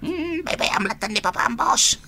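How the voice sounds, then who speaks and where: Shrill, lively gossiping underling. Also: female voice, normal speed, noisy background. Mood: teasing. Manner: animated, insinuating. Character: gossipy, insinuating underling of the boss. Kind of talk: Ilocano radio drama acting.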